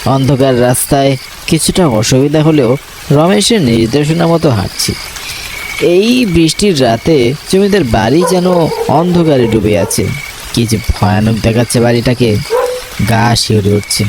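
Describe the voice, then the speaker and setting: A man's voice: mid-range voice, animated, unhurried, noisy background, close to an everyday voice. A man narrating a horror cartoon in the first person, with a suspenseful, theatrical delivery. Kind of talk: dramatic horror story narration.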